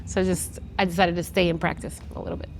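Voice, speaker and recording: A woman's voice: fairly low pitch, level tone, quick pace, slight background noise, low-quality recording. A woman in her forties from Guatemala, a musician answering interview questions in a casual, chatty way, often restarting her sentences. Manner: chatty with many restarts and fillers. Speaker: musician guest. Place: outdoors at a festival.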